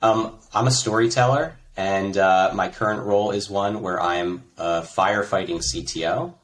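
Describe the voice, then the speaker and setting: An American man, a guest in a conversational interview, explaining his work in a relaxed way with frequent fillers. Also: lowish voice, normal speed. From United States. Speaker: interview guest, tech executive.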